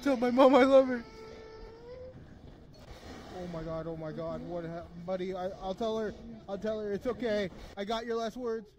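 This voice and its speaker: Dude yelling and joking thru a co-op horror game, kinda high voice. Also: male voice, normal speed, slight background noise. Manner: jokey, excitable outbursts. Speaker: gaming channel host. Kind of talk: casual gaming chat with friends.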